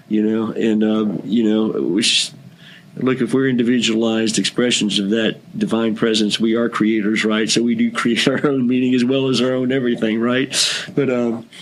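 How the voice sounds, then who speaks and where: A man's voice: lowish voice, low-quality recording. An American man from Kentucky talking casually as a podcast guest, earnest and effusive, repeating phrases for emphasis. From Kentucky, United States. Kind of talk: casual conversational interview.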